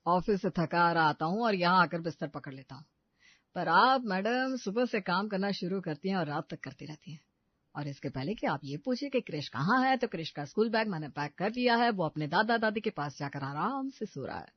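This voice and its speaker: A woman voicing a caring young husband in a story, mock-formal and gently chiding his wife. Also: fairly low pitch, expressive, a little fast, slightly rough, dry recording, dull audio, voice pushed down. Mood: teasing.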